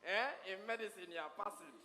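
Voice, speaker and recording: An actor with a Nigerian accent playing a loud, stubborn, proud father who blusters and laughs as he talks. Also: high-pitched, fairly quick, in a large hall. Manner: blustering, shouts and laughs mid-sentence.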